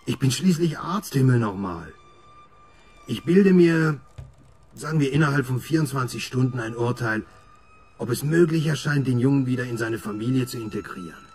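A man's voice: mid-range voice, expressive, moderate pace, pauses often, a little mellow, faint music behind, natural voice. An actor playing a brooding, obsessive middle-aged father and doctor, intense and introspective, in a radio horror drama. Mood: agitated, obsessive. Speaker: narrator and lead character. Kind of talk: radio horror drama, dialogue and first-person narration.

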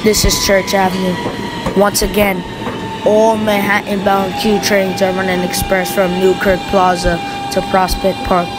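A woman's voice: mid-range voice, flat delivery, a little slow, very noisy. A woman making formal, instructive train service announcements from a script.

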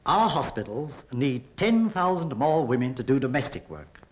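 British man, lively vintage film appeal. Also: mid-range voice, normal speed. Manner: formal, earnest. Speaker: narrator. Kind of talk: 1940s public information film appeal. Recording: dull 1940s film soundtrack.

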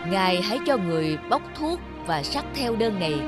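A woman's voice: medium pitch, big pitch swings, brisk, over loud music, lightly acted. A woman voicing a self-assured male royal physician in a cartoon, formal, learned and respectful. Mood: explaining. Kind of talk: cartoon voice acting.

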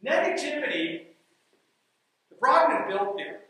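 Man, stage talk, bright voice, far from the mic, emphatic, repeats key phrases. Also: very high voice, big pitch swings, unhurried. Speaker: speaker on leadership and coaching. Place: dark stage in a large hall. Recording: echoey hall, heard from a distance.

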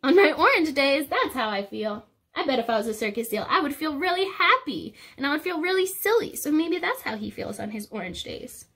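High-pitched young woman reading to children. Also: a little fast. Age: young adult. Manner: expressive, sing-song, explaining simply to small children. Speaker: early childhood teacher reading aloud. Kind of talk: children's picture book read-aloud. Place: small indoor room.

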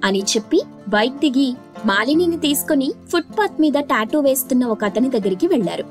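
A woman's voice: high-pitched, expressive, fairly quick. A woman storyteller reading scripted narration. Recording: faint music bed. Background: faint background music.

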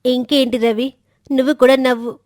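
A woman's voice: sits high, level tone, normal speed, a little mellow, dry recording, clean studio sound, close to an everyday voice. A woman voicing a hot-tempered, arrogant schoolboy in a children's moral story. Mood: pleading, dejected.

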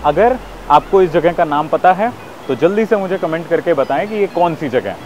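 High-voiced young Indian man vlogging over music. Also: expressive, moderate pace, a little mellow, highs cut off. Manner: enthusiastic, urging. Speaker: travel vlogger. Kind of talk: casual vlog talk to viewers. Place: outdoors, on the road and by a waterfall.

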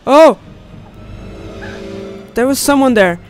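A woman's voice: sits high, expressive, slow, a little mellow, soft background music. A young woman giving casual, exclamatory gameplay commentary with swearing into a close studio mic. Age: young adult. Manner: reactive, exclamatory, profanity-laced. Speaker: gaming video host. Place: indoors at a home recording setup. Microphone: close studio condenser mic. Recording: clear game ambience noise behind voice. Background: horror game ambience.

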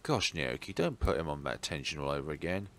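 A man's voice: a bass voice, big pitch swings, brisk, fairly bright tone. A man reading a visual novel's story aloud as dramatic narration for a let's play. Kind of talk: dramatic narration of game text.

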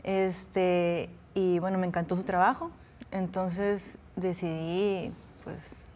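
A woman in her twenties from Peru, an actress and singer, talking in a friendly way in a studio interview, trailing off softly. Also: fairly low pitch, level tone, slow, a little hiss or hum.

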